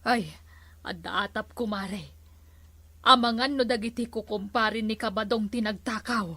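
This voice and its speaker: A woman acts as an exasperated, gossipy village wife with an Ilocano Filipino accent. Her voice is fairly high and sharply bright, recorded dry, in comedy-skit style. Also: a little slow, no room sound, heavily acted. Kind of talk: amateur barangay comedy skit acting.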